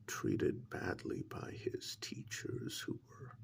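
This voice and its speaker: A man playing a calm, probing government agent in an ASMR role-play, speaking in a soft breathy half-whisper with a measured, condescending manner. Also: lightly acted. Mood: coolly analytical. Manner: measured, condescending, deliberate with long pauses.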